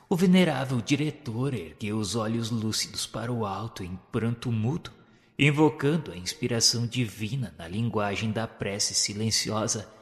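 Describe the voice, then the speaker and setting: A man giving a solemn, measured narration of a spiritual novel in a radio drama. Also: on the low side, fairly bright tone, in a large hall. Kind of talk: scripted literary narration.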